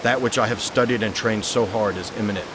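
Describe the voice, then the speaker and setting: A man voicing a brooding, haunted young gentleman heir in a motion comic, formal, solemn and deliberate. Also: on the low side, noisy background, close to an everyday voice. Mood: reflective. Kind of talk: dramatic motion-comic acting and diary narration.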